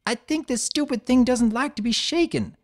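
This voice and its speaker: Woman voicing a tomboy filly, gruff, dismissive, moderately high. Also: moderate pace, close to an everyday voice. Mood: defensive. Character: tough young pegasus filly, defensive tomboy. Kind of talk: dramatic audiobook reading.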